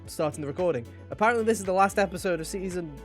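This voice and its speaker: A man's voice: high-pitched, racing, soft background music. A man hosting a podcast in a casual, chatty way, with a jokey, mock-dramatic manner, close to the microphone. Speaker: podcast host.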